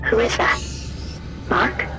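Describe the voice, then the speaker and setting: A woman's voice: takes it slowly, lightly acted. A woman playing an earnest, trusting technician chatting in a sci-fi video game. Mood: anxious, relieved. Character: trusting, earnest female technician, eager and relieved. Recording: music and ambience behind voice.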